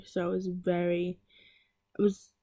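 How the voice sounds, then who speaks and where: A woman's voice: lowish voice, monotone, unhurried, dark tone, dry recording, studio quality. A teenage girl talking casually about packing her lunch in a home kitchen, rambling with lots of fillers and restarts. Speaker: vlogger. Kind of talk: casual voice-over chat.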